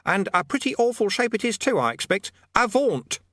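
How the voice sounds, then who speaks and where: A man voicing a scrawny, excitable male demonologist who commands in stammering, pompous archaic words. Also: high-pitched, moderate pace, no room sound, studio quality, pitched above the performer's own voice, exaggerated voice. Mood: excited, pompous. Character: scrawny excitable middle-aged male demonologist, mad and pleading. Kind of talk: audiobook character voice.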